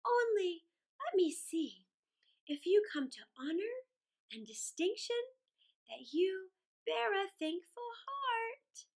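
Genteel female crow for a children's tale, woman reading: mannered, high and swooping, unhurried. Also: big pitch swings, exaggerated voice. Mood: knowing, formal. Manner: mannered, drawn-out, formal. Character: genteel tame female crow, formal and courtly. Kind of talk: children's storybook character voice.

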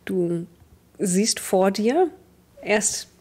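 A woman's voice: medium pitch, expressive, extremely slow, darkish tone, slightly rough. A young woman improvising the narration of a tabletop roleplaying game as game master. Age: young adult.

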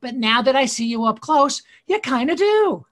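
A man voicing a gushing, tactless older female fan in a high, airy put-on voice. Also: very animated, moderate pace, fairly bright tone, exaggerated voice.

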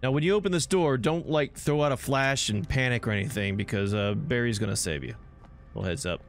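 A man's voice: mid-range voice, brisk. A man giving laid-back, casual gameplay commentary, chatting his way through the action and swearing now and then. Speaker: gameplay video host. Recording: game music behind the voice.

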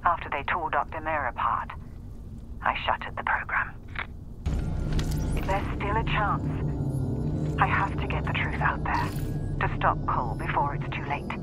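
A man plays a guilt-ridden male scientist confessing in a recorded log, solemn and grave. His voice is a little high, with clear noise in the recording. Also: measured pace, pauses often, close to an everyday voice.

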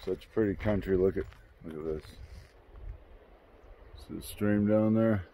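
A man's voice: deep voice, unhurried, mellow, rough recording. A man in his sixties chatting casually into a handheld phone camera at a mountain campsite, folksy and rambling. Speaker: vlogger. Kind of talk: casual vlog narration. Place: outdoors at a mountain campsite by a creek. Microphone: phone camera at arm's length. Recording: faint outdoor noise of a stream. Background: running creek water.

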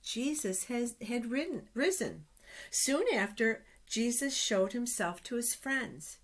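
A middle-aged woman hosting a children's storytime, reading aloud with deliberate, expressive phrasing and pauses. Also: on the high side. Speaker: children's storytime host at a church. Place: small indoor office.

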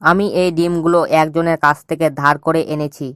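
A man voicing a sly, bossy jackal egg thief who talks smoothly and schemes in an animated cartoon. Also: a little high, brisk, no echo, studio quality, natural voice. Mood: sly sales patter. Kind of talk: cartoon voice acting.

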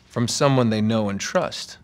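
A man's voice: on the low side, unhurried, natural voice. An actor in his thirties playing a cocky, sardonic young treasure hunter in a cinematic video game. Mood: intent, scheming. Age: 30s. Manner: sardonic, conversational.

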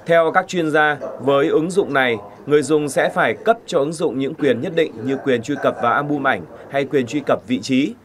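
A man's voice: a little high, moderate pace, hardly any pauses, crowd noise. A man narrating a news report from a script. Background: faint music.

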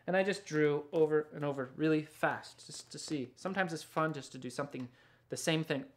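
A man's voice: fairly high pitch, brisk. A man, casually showing and demonstrating his work as an illustrator, musing to himself as he goes. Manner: musing, talks to himself while working. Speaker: illustrator and picture book author. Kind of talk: casual show-and-tell and drawing demonstration. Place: indoors in a small studio with books and records.

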